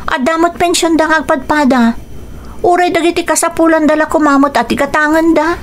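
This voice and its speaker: An actress playing a resentful, nagging daughter-in-law in a radio drama, with a northern Philippine accent. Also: female voice, high voice, steady tone, brisk, natural voice.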